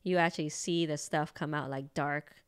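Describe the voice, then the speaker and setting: Chatty woman with a smooth low voice. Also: steady tone, moderate pace. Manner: chatty, rambling, lots of fillers and laughs. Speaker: acupuncturist podcast co-host. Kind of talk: casual chat.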